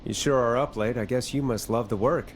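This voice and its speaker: A man's voice: medium pitch, fairly quick, slight background noise, lightly acted. A man playing a wry, easily irritated late-night radio talk show host in a video game, with practised on-air patter.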